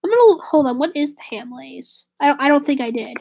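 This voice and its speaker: A woman live streaming and chatting casually with viewers, slightly raspy with a stuffy nose from a cold, rambling and correcting herself. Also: sits high, expressive, brisk, a little mellow. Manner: rambling, self-correcting, drifts to a whisper.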